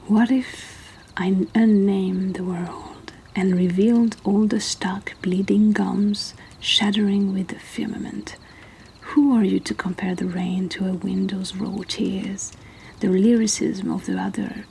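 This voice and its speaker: A woman reads poems in a soft, hushed whisper, taking her time over each line. Her tone is mellow and dark, never bright. A faint ambience with some animal sounds sits behind her voice. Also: mid-range voice, gravelly, slight background noise. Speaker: host reading poems. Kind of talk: whispered poetry reading. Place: indoors by a fire.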